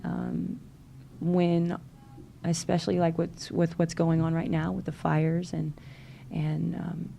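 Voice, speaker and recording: A woman's voice: fairly low pitch, completely flat delivery, takes it slowly, vocal fry, mellow, a little hiss or hum. A woman from Louisiana with a Southern accent, a podcast guest talking earnestly and encouragingly, with many fillers. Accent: Southern American. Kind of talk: casual interview chat.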